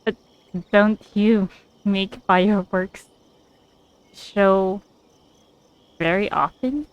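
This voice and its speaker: A woman's voice: mid-range voice, fairly even intonation, extremely slow, clean tone, lo-fi sound. A woman giving casual, offhand commentary while playing a game, hesitant in manner. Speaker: gamer commenting on playthrough. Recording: faint noise with game ambience.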